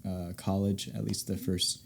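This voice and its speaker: A man's voice: low voice, flat delivery, moderate pace, creaky. A young American man, a podcast guest, telling stories in an easygoing, rambling way during a casual interview. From United States.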